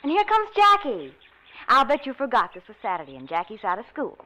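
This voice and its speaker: An actress playing a brisk, cheery hospital nurse giving instructions in an old-time radio drama. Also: female voice, on the high side, huge pitch swings, bright, forceful tone, exaggerated voice, rough recording. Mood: cheerful, brisk. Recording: hissy vintage radio recording.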